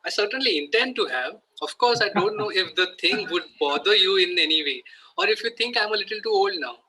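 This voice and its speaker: A man playing a sharp, high, husky Victorian gentleman. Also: lively intonation, brisk, a little hiss or hum, rough recording. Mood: offhand. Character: evasive, easily exasperated Victorian country gentleman. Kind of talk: amateur play table read.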